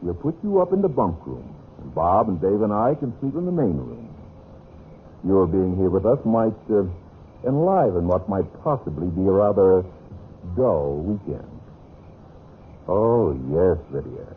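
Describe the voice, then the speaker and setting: An actor playing a suave, bitterly sarcastic husband with mock courtesy and veiled menace in an old-time radio mystery. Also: male voice, low-pitched, very animated, measured pace. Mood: sarcastic, menacing. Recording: noisy, narrow-band vintage radio recording.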